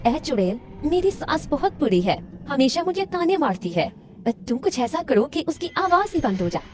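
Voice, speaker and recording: A woman's voice: high voice, sing-song, moderate pace, a little hiss or hum. A woman voicing an aggrieved, complaining village daughter-in-law in a children's story.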